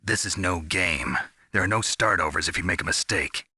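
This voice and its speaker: An actor playing a gruff, world-weary covert soldier in a video game, terse and deadpan with a gravelly voice. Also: male voice, sits low, normal speed, no room sound, clean studio sound, full character voice. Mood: grim. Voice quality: gravelly, husky. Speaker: soldier on a mission. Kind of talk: scripted video game voice acting.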